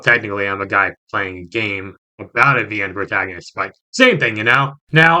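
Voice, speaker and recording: A man delivers a scripted game review at a brisk clip, with a bright, forceful voice. He is enthusiastic and jokey, given to exclamations, and music plays underneath him. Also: medium pitch. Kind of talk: scripted review narration with joking asides.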